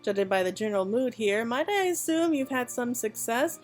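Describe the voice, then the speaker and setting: A woman reads as a gracious patron of a fantasy game guild. The phrasing is stately and formal, the voice fairly high, with music softly behind it. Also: animated, moderate pace, natural voice. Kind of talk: video game dialogue read aloud.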